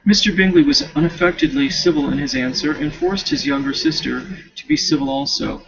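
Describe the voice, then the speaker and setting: A male student reading a novel aloud with casual asides, expressive and now and then stumbling over words. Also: a little high, brisk, gravelly, husky, highs cut off.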